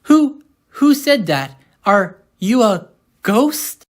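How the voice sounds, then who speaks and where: A woman's voice: fairly high pitch, very animated, very slow, full character voice. A woman voicing an earnest, easily rattled little engine in a cartoon comic dub. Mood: nervous. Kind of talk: animated comic dub acting. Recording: music behind the voice.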